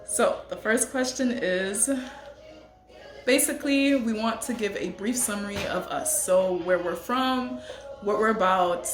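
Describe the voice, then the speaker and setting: A woman's voice: mid-range voice, measured pace, roomy sound, over music. A young adult woman who grew up in the United States, a hairstylist chatting casually and laughing often. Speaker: hairstylist and co-host. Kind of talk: casual chat.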